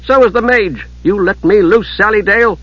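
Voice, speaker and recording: Man voicing a haughty young woman, raised pitch. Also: big pitch swings, quick pace, husky, no room sound, highs cut off, heavily acted. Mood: insistent. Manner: haughty, insistent.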